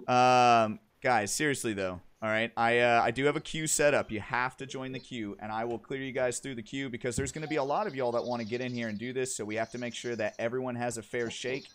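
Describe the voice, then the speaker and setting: A man's voice: mid-range voice, steady tone, brisk, hardly any pauses, a bit bright, smooth. A man hosting a livestream, interviewing a guest and then calling players into custom matches in an upbeat, directive way. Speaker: stream host.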